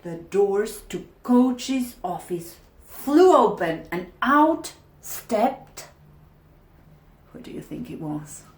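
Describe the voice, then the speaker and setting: A woman narrates a children's storybook in a roomy, echoing space. Her delivery is slow and deliberate, with animated, expressive pitch swings. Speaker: storybook reader. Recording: echoey room.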